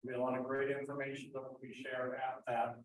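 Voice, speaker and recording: A man using a loud coaching voice without a microphone, making chamber announcements at a member meeting. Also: medium pitch, flat delivery, fast, slight background noise. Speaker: chamber of commerce representative. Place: meeting room. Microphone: none, room pickup. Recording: very roomy, from far off, faint background ambience.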